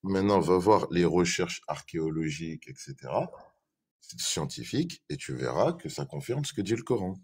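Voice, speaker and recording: Deep-voiced man, hesitant and repetitive. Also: very animated, normal speed, breathy edge. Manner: hesitant, repeats himself, reads verses aloud. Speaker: debate guest defending his view. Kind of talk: live online religious debate.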